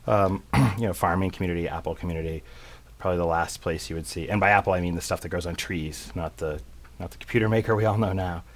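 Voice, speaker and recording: A man's voice: low voice, fast talker. A middle-aged man from central Massachusetts, a tech company CEO chatting as a guest, rambling and self-deprecating with lots of fillers. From central Massachusetts, USA. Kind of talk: casual interview chat.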